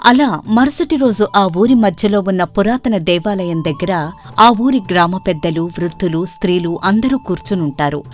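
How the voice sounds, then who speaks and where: A woman's voice: mid-range voice, expressive, normal speed. A woman reading scripted narration for a moral story as its storyteller. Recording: music bed under the voice.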